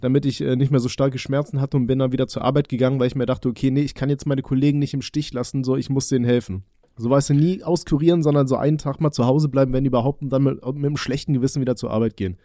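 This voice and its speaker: Young male podcast co-host, warm, dark tone, chatty and stammering, dry sound. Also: mid-range voice, fairly even intonation, rapid-fire, no echo. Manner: rambling, stammering restarts, joking. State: recovering from a cold. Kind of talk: casual chat.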